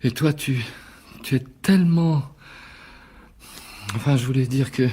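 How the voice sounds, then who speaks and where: An actor playing an exhausted, erratic and obsessive married man in a French radio drama, speaking in halting, repetitive phrases. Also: medium pitch, dark tone, husky. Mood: halting, drained.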